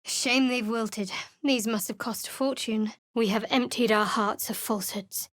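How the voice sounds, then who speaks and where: Guarded young female cleric, cool and sardonic, slightly high voice, dead-dry booth. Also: fairly even intonation, a little slow, fairly bright tone, dry recording. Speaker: game character voice actor. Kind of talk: video game voice lines. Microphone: close studio microphone.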